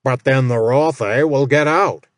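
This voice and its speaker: A man's voice: medium pitch, no echo, close to an everyday voice. A man voicing a cringing male orc field overseer who stammers. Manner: stammering, slow.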